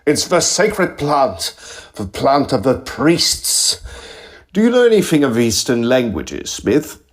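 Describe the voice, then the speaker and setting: A man playing a nervy, morbid young Egyptologist in an audio drama, halting and over-polite, with a husky voice. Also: medium pitch, huge pitch swings, full character voice. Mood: guarded pride. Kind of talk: acted audio drama dialogue.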